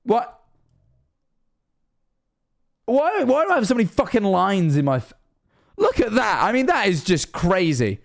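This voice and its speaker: A man's voice: high-pitched, huge pitch swings, unhurried, vocal fry, pauses often. A Scottish man of 21 streaming casually, rambling and self-mocking, swearing often.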